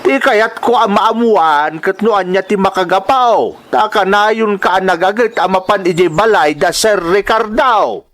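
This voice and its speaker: A man's voice: high voice, measured pace, hardly any pauses, fairly bright tone. A man playing a stern, suspicious farmer father in a radio-style drama, scolding and questioning, with an Ilocano accent.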